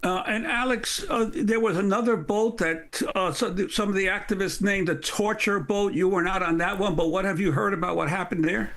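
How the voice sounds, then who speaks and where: A man's voice: high-pitched, moderate pace, hardly any pauses, a bit bright. A man hosting a broadcast news interview, putting questions to guests.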